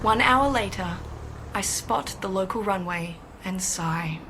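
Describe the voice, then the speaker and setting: A woman acting a young, gutsy pilot who narrates her ordeal in an intense, breathy, suspenseful audio drama style. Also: mid-range voice, slow pace. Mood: subdued, reflective. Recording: loud vehicle-like engine noise behind.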